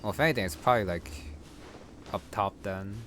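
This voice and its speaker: A man's voice: lowish voice, brisk, a bit bright, a little hiss or hum. A man giving casual gameplay commentary, muttering and thinking aloud as he plays. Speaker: gamer. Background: game music.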